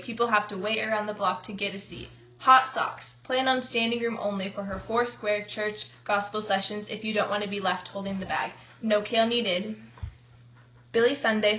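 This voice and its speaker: A woman's voice: medium pitch, a bit bright, close to an everyday voice. Woman as a slangy 1920s radio correspondent, echoey room. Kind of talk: scripted amateur 1920s radio newscast.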